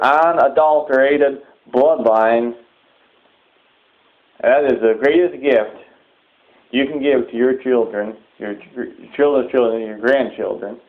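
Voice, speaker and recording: Man, mid-pitched voice, preacher's address, halting deliberate phrasing, repetition for emphasis, muffled phone-like recording. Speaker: preacher giving a public address. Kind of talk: sermon-like extremist political address. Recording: muffled, thin phone-like audio.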